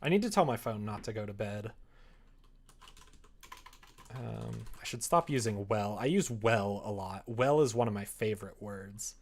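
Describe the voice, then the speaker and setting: A streamer chatting casually while working on a visual novel, drifting between conversation and quieter reading aloud. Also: medium pitch, normal speed, pauses often. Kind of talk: casual livestream chat while writing, murmuring draft lines.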